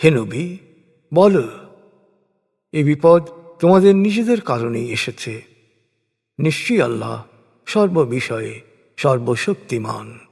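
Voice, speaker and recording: Slow, solemn male narrator in long reverb. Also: fairly high pitch, a little mellow, slightly rough. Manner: measured, deliberate phrasing with pauses. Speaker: narrator of scripture translation. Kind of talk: solemn scripted recitation of a translated scripture. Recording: long reverberant hall echo.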